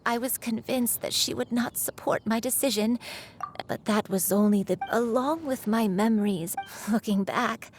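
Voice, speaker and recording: A woman playing a gentle old grandmother in a video game, halting and tender, full of remorse and wistfulness. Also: a little high, a little hiss or hum, close to an everyday voice. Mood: wistful confession. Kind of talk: dramatic video game acting.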